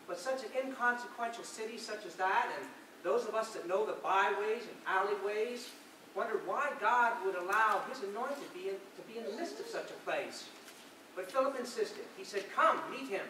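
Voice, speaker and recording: Devout fisherman disciple played by a man, earnest stage monologue, high voice, very echoey and far from the mic. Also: pushed and bright. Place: large reverberant indoor hall, recorded from afar. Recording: very echoey hall, distant mic, noisy.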